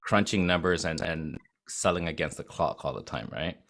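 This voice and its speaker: A man's voice: low-pitched, animated, normal speed, gravelly, a bit bright. A man from Japan who speaks with an American accent, telling his story as an interview guest in a rambling way with many fillers and restarts. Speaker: guest, video agency founder.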